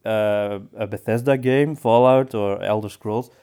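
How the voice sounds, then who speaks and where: Clear, smooth-voiced man chatting, softly mellow. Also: fairly low pitch, steady tone, unhurried. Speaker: podcast co-host. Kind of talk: casual conversational discussion.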